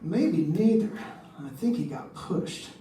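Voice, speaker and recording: Man acting a suspicious insurance man, radio drama, high pitch, halting phrases, distant mic with faint noise. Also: expressive, dark tone, echoey room. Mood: urgent, suspicious. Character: worried male insurance man with nagging suspicions.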